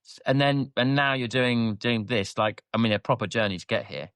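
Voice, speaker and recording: A man's voice: mid-range voice, normal speed, a bit bright, clean tone, dry recording. A man co-hosting a podcast, bashful and hesitant, laughing often. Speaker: podcast co-host. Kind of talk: casual podcast chat.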